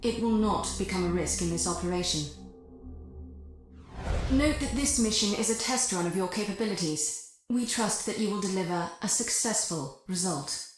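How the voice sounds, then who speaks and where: A woman's voice: medium pitch, normal speed, lightly acted. Actress as cold corporate game briefer, female, formal, composed, polite, strong reverberant echo, music behind. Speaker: mission briefer. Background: loud music.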